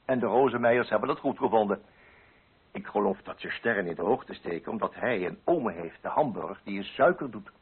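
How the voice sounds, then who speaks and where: An actor playing a pompous, prudish middle-aged coffee broker with a Netherlands Dutch accent in a radio play monologue. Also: male voice, fairly low pitch, lively intonation, a little fast. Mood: scheming, smug. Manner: pompous, fussy, self-righteous. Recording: husky, narrow-band old radio recording.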